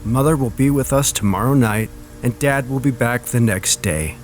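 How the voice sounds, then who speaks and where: A man's voice: mid-range voice, moderate pace, close to an everyday voice. Guy doing a bossy big sister, scornful and dismissive, spooky story reading over music. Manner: scolding, dismissive. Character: scornful, sensible elder sister. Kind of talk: dramatic horror story reading.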